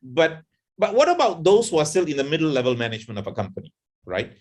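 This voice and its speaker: A man, a professor moderating a fireside chat, asking questions in a halting way with many fillers and repeated words. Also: fairly high pitch, sing-song, darkish tone.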